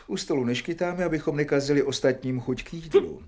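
An actor in a radio drama playing a prim, educated doctor who corrects others in a formal, didactic and precise way. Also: male voice, on the high side, a little mellow, natural voice. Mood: polite, reproving.

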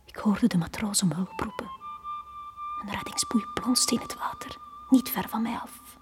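An actress playing a drowned young girl in a radio play, telling her story in a hushed, breathy whisper. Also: very high voice, huge pitch swings, moderate pace, music in the background, full character voice. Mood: tense, haunted. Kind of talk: dramatic radio play monologue.

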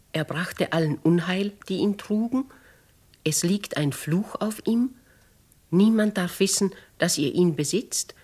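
A noble lady with a lowish, husky voice. She is grave and imperious yet compassionate, speaking with the theatrical dignity of 1950s radio drama. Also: a little mellow. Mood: hushed, pondering. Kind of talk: 1950s German radio play acting.

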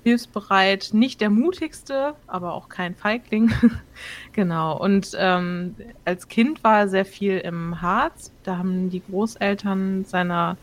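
Chatty woman rambling with fillers. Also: mid-range voice. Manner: relaxed, chatty, many fillers. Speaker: player introducing her character. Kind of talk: casual chat.

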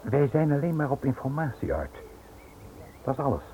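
A man acting a smooth, menacing Italian financier in a radio play, polite, insinuating and pressing. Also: medium pitch, big pitch swings, normal speed, natural voice. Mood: insinuating politeness. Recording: tinny, noisy old radio play recording.

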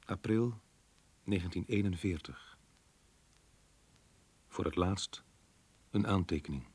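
A man's voice: deep voice, slow pace, a little mellow, husky, full character voice. An actor playing a melancholy, resigned man who reads from his diary in a subdued, reflective way in a radio play. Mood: resigned, sad. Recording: roomy sound with music behind.

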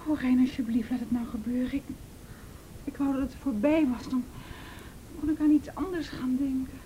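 An actress playing a gentle, anxious young woman in a radio play, speaking hesitantly and intimately. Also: steady tone, normal speed, lightly acted. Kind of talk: Dutch radio play acting. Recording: faintly noisy radio recording with rumble.

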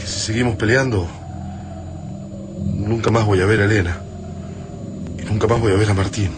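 A man's voice: lowish voice, steady tone, moderate pace, lightly acted. An Argentine actor in a radio drama playing a weary resistance survivor who longs for his family, blunt and emotional. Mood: anguished, resigned. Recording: clearly noisy radio drama ambience.

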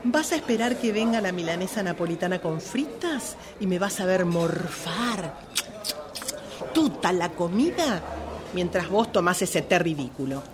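A woman's voice: lowish voice, expressive, measured pace. Woman doing a bossy argentine girlfriend in radio comedy, dramatic, sarcastic, voice all over the place, busy crowd behind. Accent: Rioplatense Argentine. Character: bossy, theatrical, menacing jilted girlfriend dining out.

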